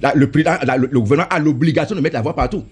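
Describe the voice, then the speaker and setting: A fast-talking man with a husky, slightly high voice. He argues as a member of parliament in a heated debate. He is insistent and keeps repeating and stumbling over words.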